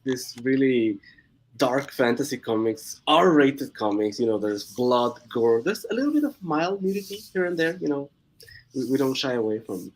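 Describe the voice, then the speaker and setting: Swiss-Mexican-accented young guy, guest on a stream, lively up-and-down pitch, laughs a lot. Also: moderate pace, low-quality recording. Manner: chatty, laughs often, restarts words. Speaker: guest comic creator. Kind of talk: casual chat on a live stream.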